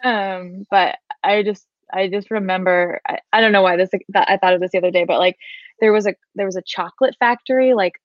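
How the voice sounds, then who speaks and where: A woman's voice: mid-range voice, brisk, no echo, lo-fi sound. A woman in her thirties chatting casually, often laughing, hesitant, with many fillers. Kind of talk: casual conversation.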